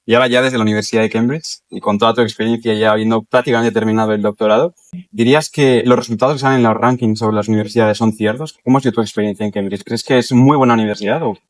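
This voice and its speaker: Male interviewer, quick and low-pitched, encouraging, dry off-mic sound. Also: steady tone, no echo, distant mic. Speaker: interviewer, channel host. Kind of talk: casual interview questions.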